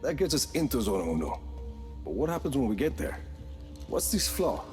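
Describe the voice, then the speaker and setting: A man plays an impulsive action hero in a game cutscene, short and direct in every line. His pitch moves in a lively way around a middle register. Soft music sits underneath. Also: moderate pace, lightly acted. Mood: terse. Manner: terse, direct, offhand. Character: tough male action hero, blunt and impulsive. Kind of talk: video game cutscene acting.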